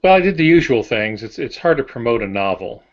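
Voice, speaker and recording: A man's voice: medium pitch, big pitch swings, fairly quick. An older man, a novelist, talking casually on a podcast in a plain-spoken, matter-of-fact way. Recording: dull lo-fi audio.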